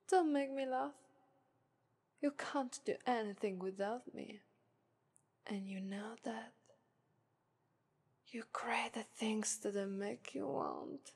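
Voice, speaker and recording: A woman's voice: fairly high pitch, slow, vocal fry, long pauses, a little mellow, husky, exaggerated voice. A woman voicing a confident, haughty female demon of lust in an ASMR roleplay, whispering and teasing with an Italian accent. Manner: whispery, teasing, purring "ara ara".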